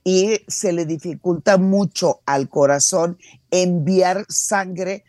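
A woman's voice: lowish voice, takes it slowly, dark tone, dry recording, studio quality. A middle-aged woman, giving conversational expert advice and repeating words emphatically for stress. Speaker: sexologist, expert guest.

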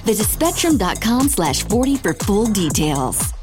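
Lively woman reading an ad. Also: mid-range voice, a little slow. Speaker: ad announcer. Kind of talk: scripted commercial read. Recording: clear music bed behind.

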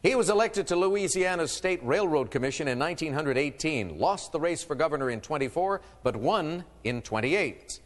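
A man's voice: a little high, expressive, normal speed, hardly any pauses, long reverb tail. A man hosting a quiz show, reading clues and teasing contestants with playful asides. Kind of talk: game show hosting with banter.

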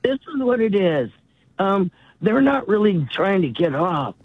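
A woman caller from California, husky after a cold, talking in an earnest, rambling way on the radio. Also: medium pitch, sing-song, measured pace, dry recording. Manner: earnest, rambling, voice rises as she gets worked up. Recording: tinny phone line.

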